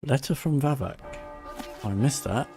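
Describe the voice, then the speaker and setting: A man with a dark, relaxed voice streams a game casually. He mutters to himself at a very slow pace while thinking things through, with soft music behind him. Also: mid-range voice, gravelly. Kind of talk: casual playthrough commentary.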